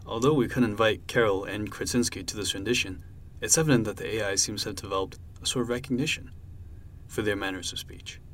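A man's voice: lowish voice, fast talker, a bit bright, a little hiss or hum. A man reading a scripted explainer about language learning as a co-host.

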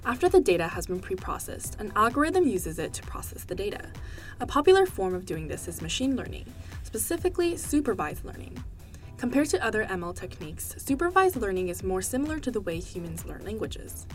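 Lively woman, native Korean speaker. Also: medium pitch, brisk, faint music behind. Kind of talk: scripted explainer narration.

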